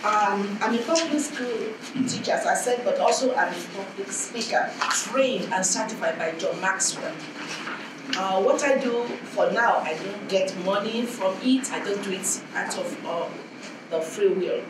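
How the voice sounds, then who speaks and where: A woman's voice: medium pitch, measured pace. A woman who teaches in a public school, introducing herself at a conference with many fillers. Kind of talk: spontaneous self-introduction at a conference. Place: conference hall. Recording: echoey hall, distant mic, noisy room.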